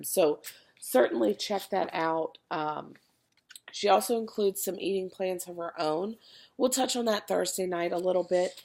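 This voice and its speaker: Woman, casual fitness coach, hoarse and gravelly, chatty with fillers, low-ish voice. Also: darkish tone. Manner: chatty, many fillers and restarts. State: losing her voice, cracking. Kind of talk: casual video talk to a group.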